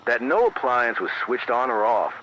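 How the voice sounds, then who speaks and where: Man acting as late-night emergency broadcaster, animated intonation, measured precise wording, grave, scripted horror bulletin, AM radio filter, faint noise. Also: medium pitch. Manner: measured, formal, deliberate, precise. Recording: dull band-limited AM radio, faint noise.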